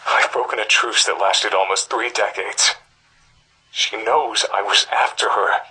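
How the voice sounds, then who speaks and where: Husky, haunted middle-aged journalist. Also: male voice, lowish voice, fairly even intonation, a little slow, exaggerated voice, low-quality recording. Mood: shaken dread. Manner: grave, brooding, breathy half-whispers. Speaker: voice actor in a game's audio tapes. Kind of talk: dramatic scripted monologue on cassette tape. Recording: sharp, gritty cassette-tape voice recording.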